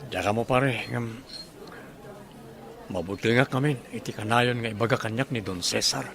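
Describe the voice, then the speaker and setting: A man's voice: medium pitch, normal speed, vocal fry, lightly acted. A troubled father with an Ilocano accent confides in a friend, played by a man. A busy restaurant crowd chatters behind him in this radio drama. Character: worried father Jojo.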